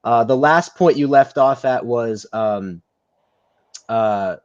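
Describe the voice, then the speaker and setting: A man's voice: mid-range voice, slow pace, a little mellow, lo-fi sound. A man hosting a live stream interview, friendly and encouraging as he asks questions. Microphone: video call.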